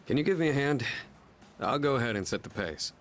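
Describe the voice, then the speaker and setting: An actor voicing a proud but socially awkward male high school senior in a video game. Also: expressive, a little fast, faint music behind, close to an everyday voice. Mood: gruff, competitive. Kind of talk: English video game voice acting.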